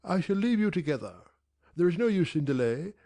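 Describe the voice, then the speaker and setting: Brief: a woman voicing a bluff, secretive older gent in an audiobook, low and gravelly with a dry close sound. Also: very animated, a little fast, dark tone, no echo. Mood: guarded, cheerful. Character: hearty older gentleman, secretive and kindly. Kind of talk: audiobook character reading.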